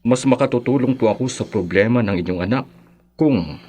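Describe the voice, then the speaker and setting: An actor playing a sympathetic parish priest in a radio drama, measured, formal and reassuring, with a Filipino accent. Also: male voice, mid-range voice, a little mellow, natural voice. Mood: calm, sympathetic.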